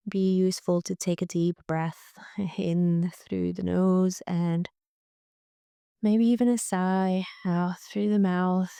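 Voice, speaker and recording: A woman leading a guided relaxation meditation in a gentle, soothing, unhurried way, with frequent pauses. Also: on the low side, vocal fry, clean tone, no room sound. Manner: gentle, soothing, pausing often. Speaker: yoga nidra guide.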